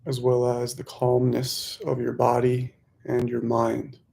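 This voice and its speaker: A man guides a meditation in a very slow, even voice with a gravelly creak. His phrases are short and gently instructive, set apart by pauses. The pitch stays level throughout.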